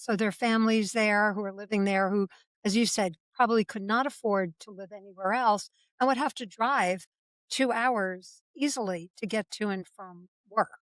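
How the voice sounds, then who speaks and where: A woman's voice: mid-range voice, no echo, poor audio. A woman hosting a podcast panel who leads the talk in a conversational way, asking each guest in turn. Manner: warm-up questions, conversational. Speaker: podcast host.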